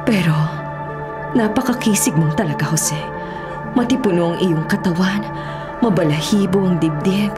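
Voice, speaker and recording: A woman's voice: normal speed, long pauses, lightly acted. A woman acting as a nobleman's seductive, scheming wife in a Filipino radio drama, imperious and deceitful in a melodramatic style. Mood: seductive, obsessed. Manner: breathy coaxing that flips into shrill melodramatic cries. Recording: loud music bed under voice.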